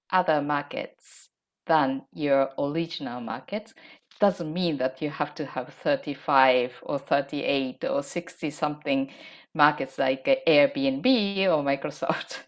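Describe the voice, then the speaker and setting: A Japanese woman whose first language is Japanese, introducing herself on an online panel in careful, halting English with a Japanese accent. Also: deep voice. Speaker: panelist, business strategist.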